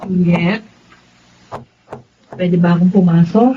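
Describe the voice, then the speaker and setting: A woman playing a concerned, gentle aunt with a Filipino accent, coaxing and conciliatory in radio drama style. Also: on the low side, steady tone, takes it slowly, highs cut off, a little hiss or hum. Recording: music bed under the dialogue.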